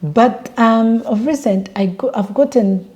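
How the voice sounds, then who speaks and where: A woman's voice: on the high side, measured pace, a little mellow. A young woman from Uganda chatting casually in a travel vlog, with lots of fillers and restarts. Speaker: vlogger.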